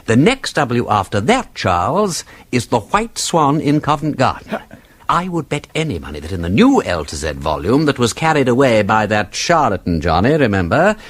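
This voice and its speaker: An aristocratic English amateur sleuth in a radio drama, excitable and quick-witted. His upper-class voice has a husky grain and swoops widely, with theatrical, precise emphasis. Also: medium pitch. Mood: eager deducing. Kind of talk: British radio drama acting.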